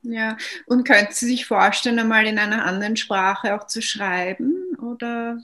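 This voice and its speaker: A woman's voice: on the high side, moderate pace. A woman interviewing a guest on the radio, asking brief, attentive questions. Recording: loud music behind the voice.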